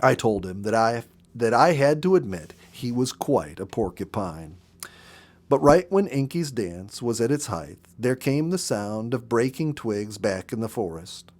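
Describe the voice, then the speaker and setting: Male storybook narrator, medium pitch, measured and dramatic, expressive read. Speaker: audiobook narrator.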